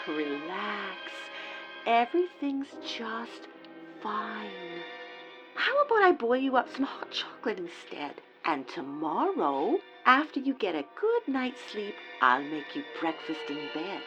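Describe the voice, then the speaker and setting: A woman's voice: mid-range voice, very animated, slow. A woman playing a doting, soothing old-fashioned housewife in a comedic radio play, theatrically sweet and reassuring. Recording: muffled audio with loud music.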